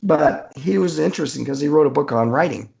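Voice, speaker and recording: A middle-aged man speaks with a gravelly, fry-laden voice in a casual interview. He is an easygoing storyteller who often halts and searches for his words. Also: on the high side, fairly quick, crowd noise. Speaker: author being interviewed.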